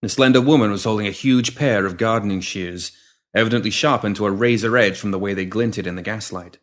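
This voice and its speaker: Man narrating dark fiction. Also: lowish voice, a little fast. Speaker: story reader.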